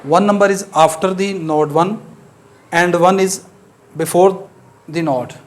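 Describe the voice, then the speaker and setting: A man whose first language is Urdu, speaking English with an Urdu accent as he gives a maths lecture, explaining step by step with frequent pauses and fillers. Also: high-pitched.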